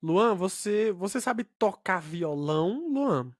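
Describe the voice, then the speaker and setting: A man's voice: sits high, expressive, takes it slowly, no echo, studio quality. A man co-hosting a language podcast, chatty and friendly, setting up examples for his co-host. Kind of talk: casual teaching chat.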